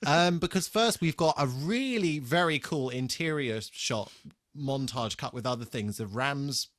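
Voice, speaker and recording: Halting man hosting a casual podcast, dry sound. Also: mid-range voice, a bit bright, no room sound. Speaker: podcast host. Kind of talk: casual banter between co-hosts.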